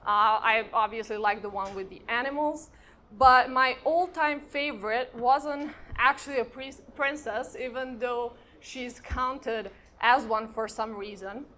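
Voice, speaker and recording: A woman's voice: a little high, unhurried, sharp tone. A woman, giving an informal conference talk and speaking conversationally and directly to her audience. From Croatia and Serbia. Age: 30s. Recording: live event with background noise.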